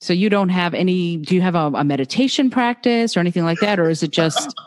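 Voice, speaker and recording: A woman's voice: lowish voice, quick pace, long reverb tail. A woman born in Moldova, hosting a podcast and chatting casually with her guest. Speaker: podcast host. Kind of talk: casual interview.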